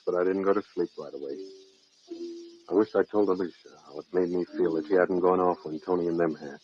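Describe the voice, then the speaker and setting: A man's voice: lowish voice, husky. An actor playing a blunt, stubborn American man who narrates and acts in an old-time radio jungle adventure. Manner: blunt, irritable. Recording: dull lo-fi vintage radio recording.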